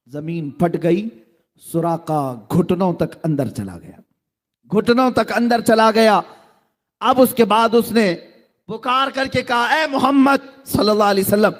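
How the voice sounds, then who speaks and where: A middle-aged man with a notably high voice delivers a sermon as a dramatic story. He drops to soft low passages and then bursts into loud exclamations. He addresses his listeners directly. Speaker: preacher.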